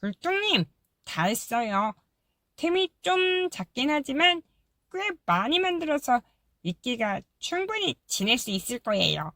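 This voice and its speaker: A woman's voice: sits high, very animated, unhurried, fairly bright tone, dry recording, clean studio sound, full character voice. A woman voicing a polite, wary little termite who speaks bluntly but kindly in a storybook audiobook. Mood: earnest. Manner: polite, hesitant, bluntly practical. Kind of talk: audiobook character voice.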